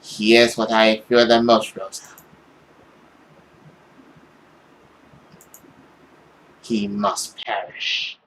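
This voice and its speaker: A man voicing a stern, possessive middle-aged mother in a dramatic, deliberate, scolding manner. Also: lowish voice, level tone, fairly bright tone, slight background noise, pitched below the performer's own voice, rough recording. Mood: cold, commanding.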